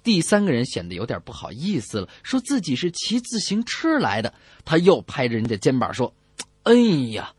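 A man's voice: mid-range voice, wildly swooping pitch, fairly quick, few pauses, husky, dry recording. A man hosting a radio comedy show, telling jokes and reading dedications in a theatrical storytelling manner. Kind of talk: joke telling and song dedications.

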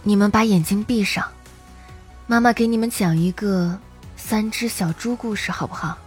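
A woman's voice: medium pitch, steady tone, normal speed, soft background music, natural voice. An actress playing a loving, frightened mother who comforts her small children in a soothing, motherly way in an audio drama. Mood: tender, reassuring.

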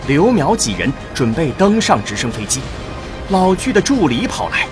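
A man reading scripted narration for a science fiction audio drama in a deliberate, dramatic way. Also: on the high side, very animated, music in the background.

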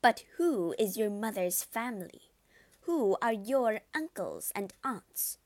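A woman's voice: medium pitch, lively intonation, slow pace, dry recording. A woman voicing a domineering elderly aristocratic lady who talks in an imperious, scolding way, raised above her own voice.

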